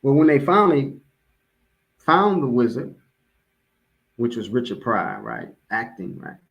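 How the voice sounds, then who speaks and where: A man's voice: mid-range voice, big pitch swings, a little slow, muffled recording. Male American preacher, mid-range voice, informal motivational address, emphatic repetition, conversational with listeners. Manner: emphatic repetition of key phrases. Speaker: religious speaker giving a public address.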